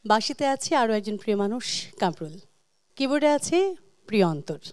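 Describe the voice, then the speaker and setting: A woman's voice: mid-range voice, very animated, a little fast, darkish tone, no echo. A woman with a Bangladeshi accent presenting a television music show in a gracious, scripted style.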